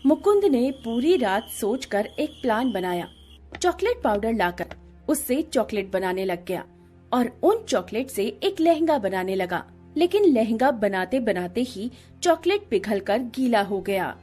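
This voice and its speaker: High-voiced woman narrating a story. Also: sing-song, measured pace, darkish tone, breathy edge, faint music behind.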